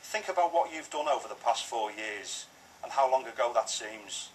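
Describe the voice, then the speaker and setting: A man's voice: mid-range voice, animated, normal speed. Formal British policeman, distant playback. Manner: formal, earnest. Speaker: police officer. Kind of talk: scripted public safety appeal. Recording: distant, slightly noisy screen playback.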